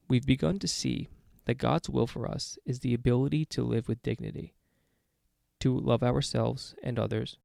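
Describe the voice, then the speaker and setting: Male reader for daily recovery meditations, heavy vocal fry, mid-pitched, close dry sound. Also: a little mellow, dry recording. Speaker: guest reader of a recovery podcast. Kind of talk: read-aloud daily meditation.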